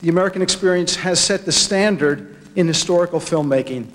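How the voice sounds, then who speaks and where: A man with a fairly high voice gives an acceptance speech in a big hall with long reverb. His delivery is a little halting, with words repeated as he goes. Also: a bit bright. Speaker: documentary producer accepting an award. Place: large hall at an awards ceremony.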